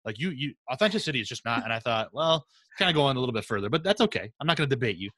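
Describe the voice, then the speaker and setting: A man's voice: medium pitch, expressive, rapid-fire, fairly bright tone, dry recording. A man hosting a podcast interview in an informal, rambling, affirming way. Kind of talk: casual conversational interview.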